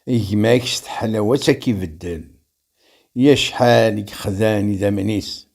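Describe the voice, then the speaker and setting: A man with a Kabyle accent reciting rhymed verse as a poet, in a measured, declamatory delivery. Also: lowish voice, vocal fry, a little mellow.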